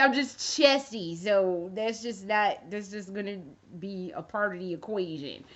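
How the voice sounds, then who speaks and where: A woman in her thirties chatting casually to camera as a fashion vlogger, rambling and swearing freely with jokey asides. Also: a little high. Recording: dull lo-fi recording.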